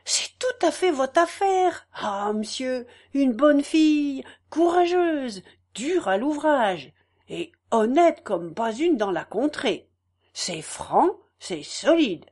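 A woman's voice: on the high side, measured pace, darkish tone. A woman voicing a talkative middle-aged peasant farm wife with emphatic, rustic country speech. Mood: eager.

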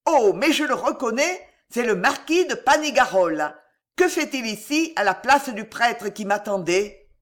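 Man voicing a high, theatrical scheming queen. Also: very animated, slow, a bit bright, heavily acted. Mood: feigned surprise. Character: scheming queen feigning innocent surprise. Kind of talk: dramatic audiobook character voice.

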